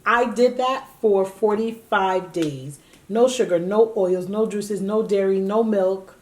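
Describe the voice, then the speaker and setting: A woman's voice: mid-range voice, slow, a little mellow. A woman in her late thirties, co-hosting a casual chat and telling her own story candidly and with emphasis. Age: 30s. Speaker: co-host.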